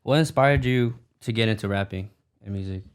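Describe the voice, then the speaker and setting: A man hosting a casual interview, asking questions and giving shout-outs in laid-back slang. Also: lowish voice, fairly quick, clean tone. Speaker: podcast host.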